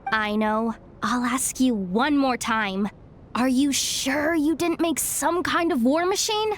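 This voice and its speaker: A woman's voice: sits high, slow pace, slight background noise. A woman playing a level-headed, blunt young woman in a game cutscene, matter-of-fact and cautioning. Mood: dry, insistent. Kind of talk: video game cutscene acting.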